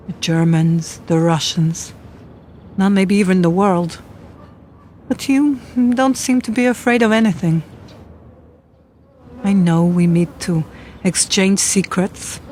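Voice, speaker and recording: A woman playing a world-weary, seductive Eastern European spy in a radio play, speaking slowly and deliberately over background noise. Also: lowish voice, warm tone.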